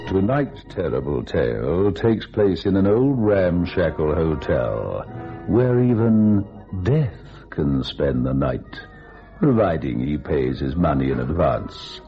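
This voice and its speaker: A man's voice: very deep voice, very animated, slow pace. A man hosting a radio thriller, narrating in a macabre, theatrical storytelling style. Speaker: storyteller host. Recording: dull old radio recording with music.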